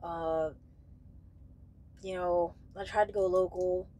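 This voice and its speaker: A young woman talking casually and candidly to camera in a halting way, searching for words as she goes. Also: on the low side, fairly even intonation, dark tone, slight background noise. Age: young adult. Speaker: truck driver vlogger. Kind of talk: casual vlog talk to camera. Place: small padded truck sleeper bunk, indoors.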